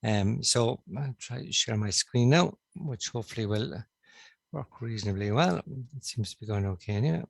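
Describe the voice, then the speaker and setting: A middle-aged man with a Cork Irish accent, a stroke consultant giving a remote conference talk over a video-call headset, measured and with frequent fillers. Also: mid-range voice, expressive, gravelly. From Ireland. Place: indoor room, on a video call.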